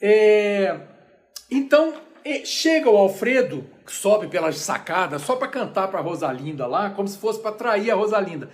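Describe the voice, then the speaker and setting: Brazilian male lecturer, high-pitched, chatty and animated, loose explanatory style. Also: normal speed. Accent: Brazilian Portuguese. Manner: animated, chatty, many restarts and asides. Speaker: lecturer on opera.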